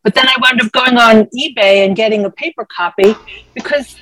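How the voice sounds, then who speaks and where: A woman with a husky voice chatting casually as a participant in a group discussion. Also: fairly high pitch, a little fast, pushed and bright, no room sound, poor audio. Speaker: book club participant. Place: video call.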